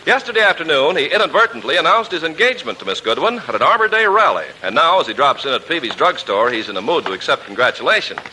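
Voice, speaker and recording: A man's voice: fairly high pitch, very animated, fast, hardly any pauses, sharp tone, a little hiss or hum, low-quality recording. A man working as a radio announcer, selling in a polished, emphatic 1940s advertising style. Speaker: radio announcer and sponsor pitchman. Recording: vintage 1940s radio recording.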